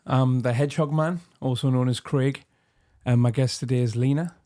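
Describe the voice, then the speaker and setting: A man hosting a recovery podcast, relaxed and jokey, keeping the guest talking with short prompts. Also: medium pitch, moderate pace. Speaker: podcast host. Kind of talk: casual interview chat.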